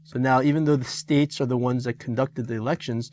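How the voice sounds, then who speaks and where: A middle-aged man teaching an exam review lesson, talking with many pauses, fillers and restarts. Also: mid-range voice, fairly even intonation, a little mellow. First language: Korean.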